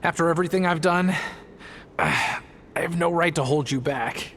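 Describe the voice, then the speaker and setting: A weary, stoic man weighed down by guilt in a video game. His voice is on the high side for a man, tired and subdued, with faint noise behind. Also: a little slow, fairly bright tone. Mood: weary, regretful. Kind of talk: scripted video game voice acting.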